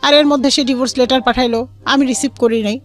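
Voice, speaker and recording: A woman's voice: high-pitched, fairly even intonation, fast, faint music behind. A young woman with a Bangladeshi accent tells her life story in an interview, quoting conversations and mixing in English words.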